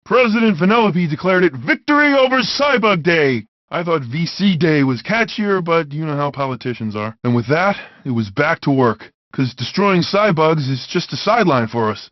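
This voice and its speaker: A man's voice: fairly high pitch, animated, moderate pace, no room sound, lo-fi sound, natural voice. A man voicing a big, brawny, good-hearted video game bad guy, wisecracking, boisterous and blunt, in compressed handheld game audio. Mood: wry, easygoing. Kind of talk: video game character voice clips.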